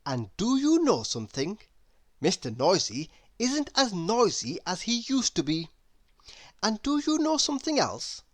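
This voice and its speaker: A woman narrating a children's story expressively, with deliberate dramatic pauses. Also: medium pitch, big pitch swings.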